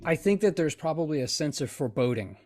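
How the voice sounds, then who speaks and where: A middle-aged man playing a tabletop game, casually reasoning aloud with halting restarts. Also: on the high side, expressive, darkish tone. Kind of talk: casual improvised game discussion. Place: indoors on a video call.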